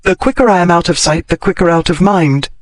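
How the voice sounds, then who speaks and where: A sharp-tongued, logical lady of a bygone era, as a male audiobook narrator puts her on, lifting his voice high and clipping each formal phrase. The sound is close and dry, with no room around it. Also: brisk, dry recording, close to an everyday voice. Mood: insistent. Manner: crisp, formal period speech rising in pitch. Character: blunt, logical Victorian-era lady, wry and emotional. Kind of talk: audiobook character reading.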